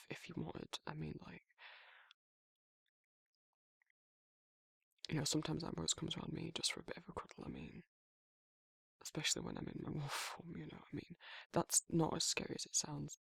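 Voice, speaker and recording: A British man playing a protective but awkward male werewolf in an intimate romantic ASMR roleplay, speaking in a halting, flustered, husky voice. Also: fairly high pitch, a bit bright, dry recording, heavily acted. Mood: flustered, coaxing. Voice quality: gravelly, husky, breathy. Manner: flustered, halting, stammering, trailing off.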